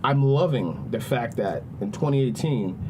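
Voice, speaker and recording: A man from suburban Maryland chatting on a podcast, emphatic and animated, repeating himself for stress. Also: mid-range voice, normal speed, background noise. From suburbs of Prince George's County, Maryland. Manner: animated, emphatic storytelling with repetitions. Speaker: podcast guest. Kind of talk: casual podcast chat.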